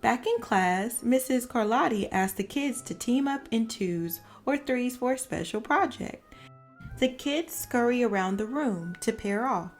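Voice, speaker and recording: Slow, high-pitched woman reading a children's story. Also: lively intonation, soft background music. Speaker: read-aloud storyteller. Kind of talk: expressive children's picture book narration.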